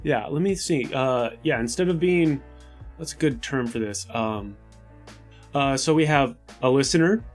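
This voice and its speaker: A man's voice: mid-range voice, unhurried, soft background music. A man playing a tabletop game, chatting casually and tentatively as he thinks aloud.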